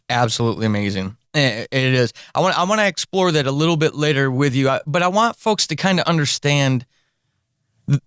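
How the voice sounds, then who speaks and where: A man's voice: mid-range voice, fairly bright tone, clear, resonant tone, no room sound, studio quality. A man hosting a podcast interview, speaking in an effusive, emphatic, enthusiastic way.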